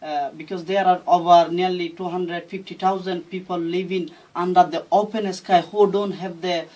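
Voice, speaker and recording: Halting man from Myanmar, slightly distant mic. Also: high-pitched, level tone, a little mellow. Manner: non-native English phrasing, earnest. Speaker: interview guest, founder of a charity. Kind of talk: halting explanatory interview talk. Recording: distant mic, faint background noise.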